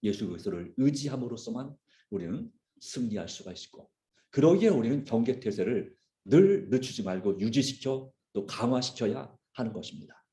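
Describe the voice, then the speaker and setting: A man's soft-edged, mellow voice carries through a reverberant room. He speaks as a pastor giving a sermon, earnestly and deliberately. Key phrases come back again for emphasis. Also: medium pitch, animated. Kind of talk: sermon with personal illustration. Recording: roomy, echoing sound.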